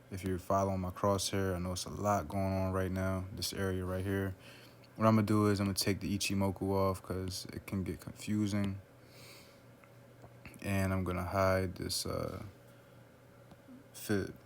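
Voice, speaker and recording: A man casually talking through a trading chart on screen, relaxed and loose, with lots of "um" and "right?" fillers. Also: slight background noise. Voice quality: low, flat voice. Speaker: forex trader analyzing a chart.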